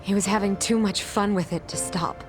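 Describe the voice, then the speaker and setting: A guilt-ridden young female teacher voiced by a woman in a dramatic game scene. She speaks reluctantly and earnestly in a medium-pitched, measured voice, with a clear musical score behind her. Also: steady tone, over music, lightly acted. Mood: somber, confessional. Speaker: English teacher. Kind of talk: dramatic game cutscene acting.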